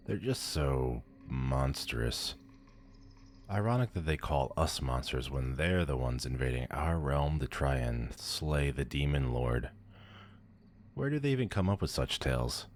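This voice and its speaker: A man's voice: a bass voice, expressive, unhurried, slight background noise. A man playing a powerful demon lord in an ASMR audio roleplay, pompous, condescending and theatrical. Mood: weary disdain. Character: haughty, powerful male demon lord, bored and amused.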